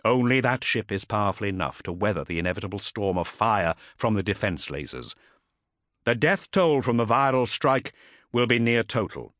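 A man voicing a stern, resolute warrior captain in an audiobook, speaking formally with measured, emphatic oratory. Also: lowish voice, expressive, fairly bright tone, no echo, clean studio sound. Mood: grave, resolute.

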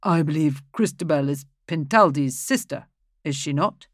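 A woman's voice: lowish voice, lively intonation, moderate pace, no room sound, clean studio sound, close to an everyday voice. A woman voicing a lecherous older male priest, speaking earnestly as if declaiming. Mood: insistent.

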